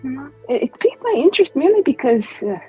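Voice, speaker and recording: A woman acts out a coy noblewoman trading lurid rumors in a fantasy game. Her delivery is theatrical and arch, with lively, swinging pitch and drawn-out pauses, over faint music. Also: low-quality recording. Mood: coy gossip.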